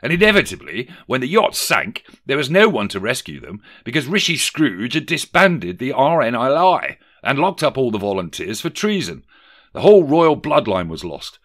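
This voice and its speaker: A man's voice: mid-range voice, big pitch swings, normal speed, a bit bright, pitched above the performer's own voice. A man voicing an aggrieved young prince in a satirical storybook, emphatic and complaining. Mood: aggrieved, sorrowful. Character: aggrieved young prince recounting his family's downfall. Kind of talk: satirical audiobook character reading.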